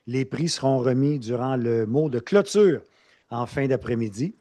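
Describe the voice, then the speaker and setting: Un homme anime une journée scientifique et présente les intervenants sur un ton formel et cérémonieux. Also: male voice, medium pitch, unhurried, mellow. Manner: formal, ceremonious introductions. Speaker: event emcee. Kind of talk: formal event hosting. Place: conference hall.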